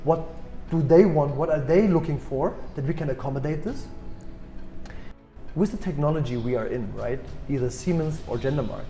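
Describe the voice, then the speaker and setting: A man in his forties with a German accent, answering interview questions thoughtfully with many pauses and restarts. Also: on the high side, dark tone, roomy sound, soft background music. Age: 40s. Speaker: guest executive.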